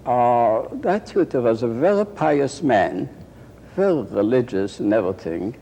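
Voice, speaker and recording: Slow older man. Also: mid-range voice, expressive, gravelly, mellow, breathy edge. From Poland. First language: Yiddish. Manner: slow, halting, with frequent pauses and restarts. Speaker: interviewee. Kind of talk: recorded oral-history reminiscence. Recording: roomy archival tape with faint hiss.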